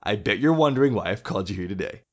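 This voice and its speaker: A man's voice: on the low side, animated, very fast, muffled recording. A man playing a gleefully menacing young tyrant president in an audio drama, sarcastic and mock-friendly. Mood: mock delight.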